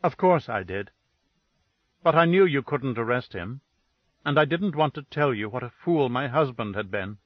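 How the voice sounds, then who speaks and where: A man reading the lines of a grieving, bitter woman in a dead, flat tone, pitched lower than his narration. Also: normal speed, no echo, dull audio, natural voice.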